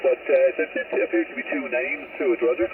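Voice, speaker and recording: A man's voice: fast talker, far from the mic, narrow, tinny audio. A man with an Irish accent chatting casually as a radio operator over a two-way link. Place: mobile in a vehicle, over CB radio. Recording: noisy, crackly, thin two-way radio transmission.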